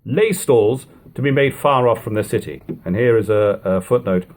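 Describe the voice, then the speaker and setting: A man reading an old book aloud in a measured, formal manner, in a lo-fi home recording. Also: on the low side, darkish tone. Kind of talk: audiobook reading of an old text with brief informal asides.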